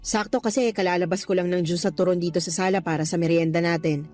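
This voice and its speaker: An actress in a Filipino radio drama playing a warm, playful middle-aged mother. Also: lowish voice, steady tone, quick pace, faint music behind, close to an everyday voice. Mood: welcoming.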